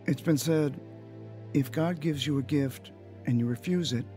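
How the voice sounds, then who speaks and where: A man's voice: fairly high pitch, unhurried, dark tone, faint music behind, natural voice. A man acting a guilt-ridden, guarded young father in an audio drama, confessional and macho. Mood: somber, reflective. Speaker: lead actor and narrator. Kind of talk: audio drama acting and first-person narration.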